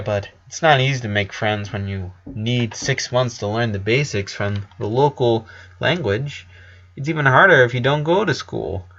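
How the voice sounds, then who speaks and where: A man's voice: mid-range voice, fairly bright tone, highs cut off. Man voicing a chatty, wistful teenage boy. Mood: sad. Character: weary, friendly fourteen-year-old boy, well-travelled.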